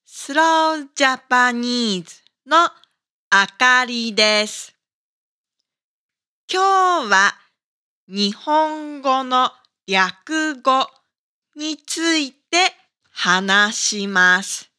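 A woman hosting a scripted language lesson for learners, speaking with careful, deliberate enunciation. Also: sits high, expressive, bright, forceful tone, clear, resonant tone. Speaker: language teacher and podcast host.

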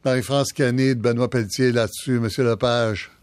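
A man hosting a radio talk show, who is brisk and teasing and cuts in often with quick questions. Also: medium pitch, steady tone, smooth, no room sound. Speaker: radio host.

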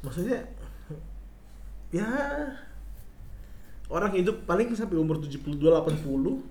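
A man in his twenties with a Jakarta accent chats informally, full of colloquial slang. His voice is a little higher than average for a man. The room around him rings with clear echo. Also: lively intonation, moderate pace, darkish tone. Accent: Jakarta Indonesian. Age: 20s. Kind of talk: casual chat. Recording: echoey room.

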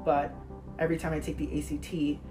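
A woman's voice: sits low, flat delivery, a little fast, over music. A teenage girl, a native Spanish speaker, chatting earnestly and informally as a podcast co-host, sometimes searching for her words. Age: teens, high school student. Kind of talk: casual chat.

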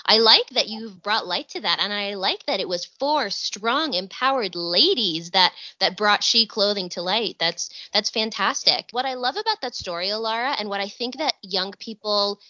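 A woman's voice: mid-range voice, normal speed, few pauses, no echo. A woman co-hosting a podcast, asking interview questions and praising her guest. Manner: warmly encouraging, praising. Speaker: podcast co-host. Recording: dry, dull lo-fi sound.

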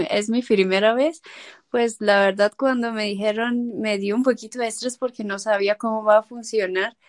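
A woman's voice: medium pitch, fairly even intonation, normal speed, dry recording, clean studio sound. A woman from Iran whose first language is Persian, a guest in a casual interview, talking hesitantly with many fillers and small laughs.

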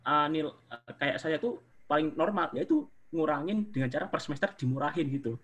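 A young man from Indonesia, studying abroad, chatting casually as an interview guest with a relaxed, rambling manner. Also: a little high, normal speed, slightly rough. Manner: relaxed, chatty, hedging with fillers.

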